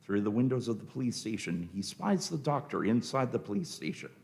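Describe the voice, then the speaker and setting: A man's voice: fairly low pitch, expressive, brisk, creaky, darkish tone. A man reading scripted narration for a radio play, setting each scene as the narrator.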